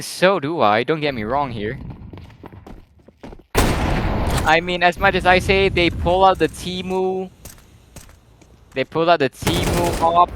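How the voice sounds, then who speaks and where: A man with a slightly croaky voice, calling live game action in a rambling, excitable play-by-play. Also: a little high, noisy background. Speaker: esports caster.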